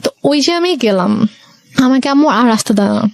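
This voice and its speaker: A young woman with a Bangladeshi accent telling a personal story as an interview guest, in a halting way with some English words mixed in. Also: fairly high pitch, lively intonation, gravelly, no room sound.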